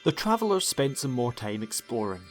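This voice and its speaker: A man's voice: medium pitch, very animated, normal speed. A man narrating a scripted audiobook story in a dramatic storytelling style. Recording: music behind the voice.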